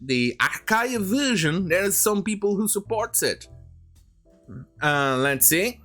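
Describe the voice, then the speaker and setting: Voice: mid-range voice, very animated, takes it slowly, fairly bright tone, soft background music. A commentator rambling through a sarcastic, mocking rant, often hesitating while improvising. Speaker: commentary host.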